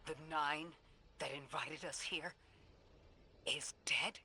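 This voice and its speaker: A performer playing a grave, authoritative leader and strategist in a video game drama, speaking deliberately. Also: medium pitch, fairly even intonation, pushed and bright, slight background noise, exaggerated voice. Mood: shocked, angry.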